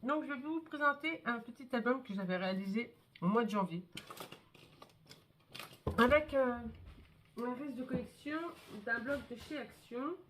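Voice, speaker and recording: A woman with a cold showing her scrapbook albums in a casual, chatty way, with many fillers and pauses. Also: a little high, animated, noisy background. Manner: chatty, hesitant, many fillers and "hop, voilà". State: has a cold, congested. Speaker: scrapbooking vlogger. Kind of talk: casual show-and-tell craft video.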